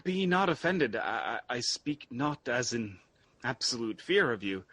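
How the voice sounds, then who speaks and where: A man playing an anguished, fervent young exiled prince in a Shakespearean radio drama, with husky, halting, theatrical delivery. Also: medium pitch, sing-song, fairly bright tone, dry recording. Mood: wary, halting.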